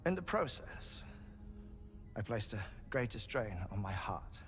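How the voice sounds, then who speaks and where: Creaky, expressive, erudite scientist. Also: male voice, fairly low pitch, a little hiss or hum. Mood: wry, wistful. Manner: formal, wordy, measured, with soft chuckles. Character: genial, ailing, erudite male scientist resigned to heartbreak. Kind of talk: cinematic video game cutscene acting.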